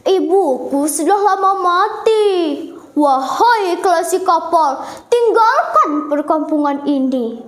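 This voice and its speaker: A woman's voice: very high-pitched, animated, takes it slowly, heavily acted. A young female storyteller voicing an arrogant young merchant son in a folk tale. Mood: scornful. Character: arrogant young merchant son who disowns his mother. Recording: echoey room.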